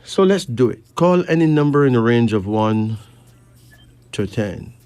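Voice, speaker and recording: A man from Barbados with a Caribbean accent, hosting a late-night radio show in a measured, deliberate way with pauses between phrases. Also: medium pitch, lively intonation, a little mellow, smooth, no room sound. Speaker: radio host giving readings to callers. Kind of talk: late-night radio talk, slow monologue and caller chat. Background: faint music.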